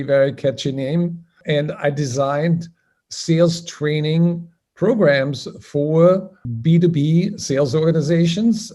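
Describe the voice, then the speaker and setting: An older man with an Austrian accent, a guest telling his story in an easygoing way, with frequent "uh" fillers. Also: a little high. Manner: relaxed, conversational, frequent uh fillers. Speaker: guest, business founder. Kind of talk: storytelling interview answers.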